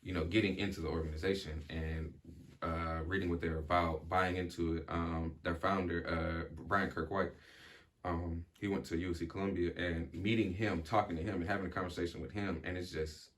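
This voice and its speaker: Deep-voiced young man, reflective and halting. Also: level tone. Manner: reflective, many fillers and restarts, occasional stutter. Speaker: podcast guest. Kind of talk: casual chat.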